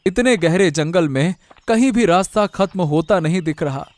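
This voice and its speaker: A man's voice: high-pitched, animated, moderate pace, no echo. A man playing a desperate, wrongly banished young villager in a cartoon moral story, pleading and repeating himself. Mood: weary, desperate.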